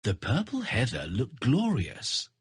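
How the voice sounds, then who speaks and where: A male storybook narrator for children with a very husky voice. His pitch moves in big animated swings, and the recording is dry and close. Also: unhurried, dry recording.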